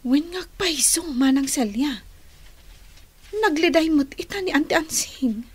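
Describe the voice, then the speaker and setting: A woman's voice: sits high, expressive, unhurried. A woman playing a concerned, inquisitive young lady of the house in a comedy radio drama, earnest and questioning.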